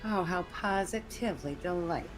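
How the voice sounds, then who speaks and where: An actress playing a spoiled, unrepentant young heiress with a breezy, sarcastic manner in a comedic radio drama. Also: female voice, on the low side, moderate pace, soft background music, lightly acted, rough recording. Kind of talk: comedic improvised radio drama table read.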